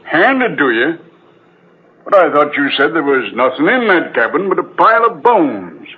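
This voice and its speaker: An actor playing a sober, puzzled ship's captain, measured and pondering, in an old radio drama. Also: male voice, fairly high pitch, very animated, breathy edge. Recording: tinny, noisy old radio recording.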